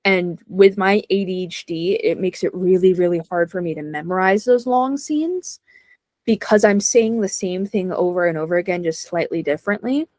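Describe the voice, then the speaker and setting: A woman's voice: on the low side, normal speed, a little mellow, no echo. A young adult woman chatting as an interview guest, self-deprecating and laughing often. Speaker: guest actor. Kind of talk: casual interview chat.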